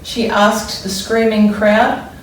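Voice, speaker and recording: A woman reads aloud to young children like a primary school teacher, with dramatic emphasis and thoughtful explanations. Her pitch is average for a woman. The sound is distant and strongly echoey, with faint noise behind her. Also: little pitch movement. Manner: deliberate, wondering aloud, dramatic emphasis when reading. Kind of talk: expressive children's read-aloud with think-aloud explanations.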